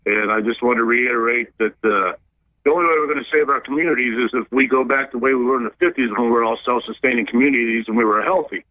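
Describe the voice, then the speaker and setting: A man's voice: medium pitch, fast talker, no echo. A man calling in to a radio show by telephone, talking earnestly and somewhat ramblingly. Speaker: caller. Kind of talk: call-in radio. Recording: dull, dry phone-line sound.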